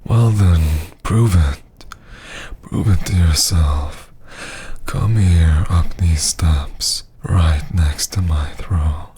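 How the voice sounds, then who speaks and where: A man voicing a domineering, seductive vampire lord in an intimate ASMR roleplay, with a husky, often half-whispered voice and a teasing, condescending manner. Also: sits low, dark tone, heavily acted. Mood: taunting, seductive. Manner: slow, teasing, condescending drawl.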